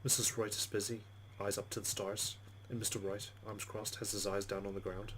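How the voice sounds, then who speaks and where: A man's voice: lowish voice, flat delivery, brisk, fairly bright tone, a little hiss or hum, poor audio. A man hosting a let's play, reading story text aloud and commenting casually on the game. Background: game music.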